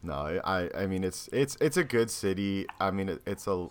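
A man's voice: sits low, animated, normal speed, a little hiss or hum. A man co-hosting a casual podcast, joking bluntly and crudely. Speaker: podcast co-host. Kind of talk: casual chat.

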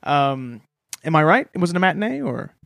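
A man co-hosting a comedy podcast, rambling with deadpan mock earnestness and many fillers. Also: a little high, normal speed, creaky, dry recording, studio quality. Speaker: podcast co-host.

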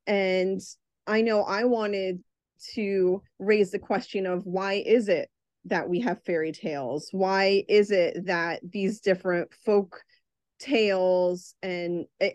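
A woman's voice: mid-range voice, darkish tone, dry recording. A woman playwright answering interview questions in a thoughtful, reflective way. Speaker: playwright, guest. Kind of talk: casual interview chat.

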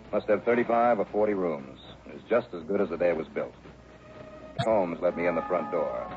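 An actor playing a weary, wry American insurance investigator in a 1960s radio drama, speaking and narrating in a dry, clipped manner. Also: male voice, low-pitched. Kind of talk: 1960s radio drama acting, first-person detective narration. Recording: tinny, band-limited old radio broadcast.

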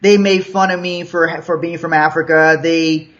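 A man's voice: a little high, flat delivery, moderate pace, a bit bright. A young man from Liberia with an American accent, telling his story casually as an interview guest, with restarts and chuckles. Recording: dull lo-fi sound.

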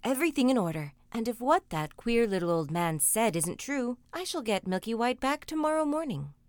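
Jack, a quick, eager young country lad, as a woman reader puts him on, speaking up with cheerful confidence. The recording is close and dry. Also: fairly high pitch, very animated, no room sound.

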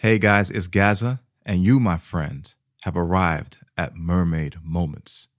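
Deep-voiced man introducing a podcast. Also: measured pace, dry recording, studio quality. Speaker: announcer introducing the show.

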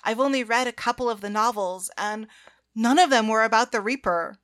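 A woman voicing the polite, eager heroine of an audiobook story in animated dialogue. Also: on the high side, brisk, lightly acted. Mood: explaining. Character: story's polite young heroine, keen and explaining.

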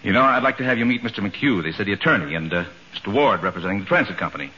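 An actor playing a courteous, authoritative judge with formal courtroom diction in a 1940s radio comedy. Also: male voice, fairly low pitch, expressive, racing, bright, forceful tone. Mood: impressed, gracious. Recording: noisy muffled 1940s radio recording.

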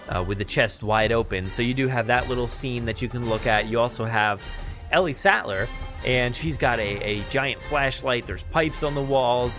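A man hosts a podcast in a casual, unscripted style. He talks haltingly, thinking aloud as he goes, at a middle pitch with faint music in the recording. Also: fairly bright tone. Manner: halting, thinking aloud while describing what he sees. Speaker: podcast host. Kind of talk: casual unscripted news chat.

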